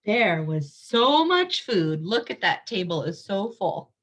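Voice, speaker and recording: A woman reading a picture book aloud to young children, expressively, pausing to explain things simply. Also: medium pitch, sing-song, fairly bright tone, slight background noise.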